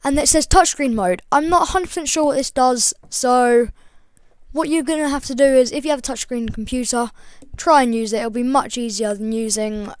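A young woman with a slightly croaky voice, hosting a casual game tutorial voice-over in a chatty, rambling way with lots of ums. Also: high voice, moderate pace, dry recording. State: croaky, voice breaking. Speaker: gaming channel host.

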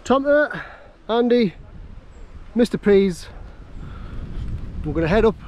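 A man with a Geordie accent and a notably high voice talks casually to camera on a hill walk. He is outdoors, with clear wind noise buffeting the microphone. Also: expressive, slow pace, darkish tone. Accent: Geordie, North East English. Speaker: vlogger on a camping trip. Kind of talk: casual outdoor vlog chat. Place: outdoors on windy open hills.

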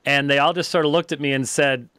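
A man's voice: fairly high pitch, fairly even intonation, quick pace, bright, forceful tone, smooth, no room sound, clean studio sound. A man in his fifties with an American accent, hosting a casual conversational interview. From United States. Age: 50s. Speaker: interviewer, host. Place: small book-lined indoor studio. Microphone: close studio microphone.

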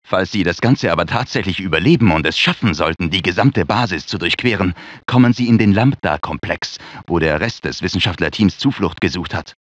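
An actor playing an anxious research scientist in a dramatic video game, speaking in a fretful, earnest, theatrical manner. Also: male voice, low voice, expressive, a little fast, dry recording. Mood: earnest, grave. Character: anxious male research scientist in a sci-fi disaster. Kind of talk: dramatic game character dialogue, German dub. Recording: dull lo-fi game audio.

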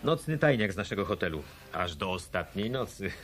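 A lowish, very lively male voice a friendly, uneasy bookkeeper in a radio play. His speech is halting and colloquial, over faint background noise. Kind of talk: Polish radio drama dialogue.

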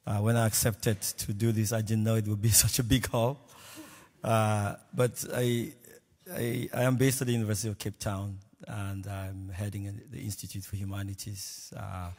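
A man on a panel introducing himself earnestly, with many fillers and pauses. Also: lowish voice, flat delivery, darkish tone. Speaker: panelist, institute head. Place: large lecture hall stage. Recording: reverberant large hall.

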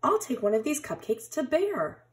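A woman's voice: high voice, sing-song, moderate pace, pitched above the performer's own voice. A woman voicing a sweet, kindly, cheerful male mouse in a children's storybook, sounding delighted.

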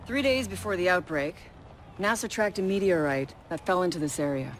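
An actress playing a serious, resolute special forces commander in a video game cutscene, with a clipped, authoritative delivery. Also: female voice, on the low side, a little fast, slight background noise, close to an everyday voice. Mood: measured, firm. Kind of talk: cinematic video game dialogue. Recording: loud music under the voice.

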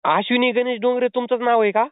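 A man's voice: extremely high pitch, little pitch movement, fast talker, clean tone, dry recording. A man making a prank phone call, posing as a delivery man, insistent and pleading. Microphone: phone line. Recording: tinny phone call recording.

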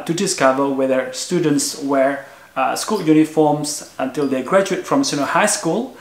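A man's voice: fairly high pitch, gravelly, roomy sound. A man in his thirties from Indonesia, explaining to camera on a sofa at home in an earnest manner, with frequent "uh" fillers. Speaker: vlogger. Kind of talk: explanatory video talk to camera. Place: living room with a sofa, indoors.

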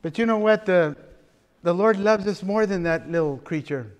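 A middle-aged man preaches from the pulpit of a large church. His voice is high for a man, and he talks in an animated, joking way. A long hall reverb trails every phrase. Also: normal speed. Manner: animated, gesturing preacher with asides and playful humor. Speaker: pastor. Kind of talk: sermon.

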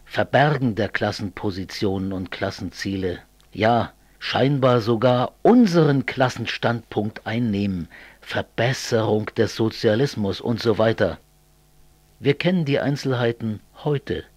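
A man playing an earnest, brooding communist in an amateur radio play, speaking in a measured way. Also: lowish voice, a little mellow, no echo.

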